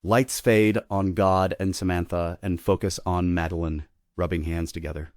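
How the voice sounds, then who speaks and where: A man's voice: low-pitched, fairly even intonation, normal speed, no room sound, clean studio sound. A man narrating stage directions in a scripted table read.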